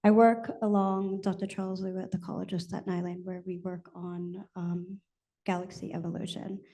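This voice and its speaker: A woman opens her conference flash talk formally and speaks slowly and carefully. Her voice is mellow and dark, with little pitch movement. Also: little pitch movement. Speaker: researcher presenter.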